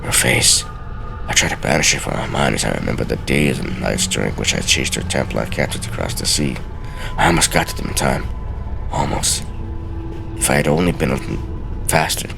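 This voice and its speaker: A man giving a solemn, deliberate, theatrical dramatic reading of journal entries from a game. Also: extremely low pitch, expressive, creaky, music in the background. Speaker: let's play host.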